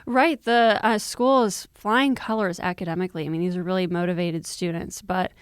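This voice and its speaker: A woman's voice: on the low side, fairly even intonation, moderate pace, clear, resonant tone, no room sound, studio quality. A woman reporter explaining things step by step in an informal, conversational news discussion. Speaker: news reporter, interview guest.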